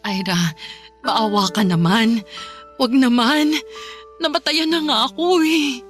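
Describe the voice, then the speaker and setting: A woman's voice: on the high side, takes it slowly, soft background music. A Filipino woman playing a grieving, injured mistress in a radio drama, desperately and tearfully begging. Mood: weak pleading.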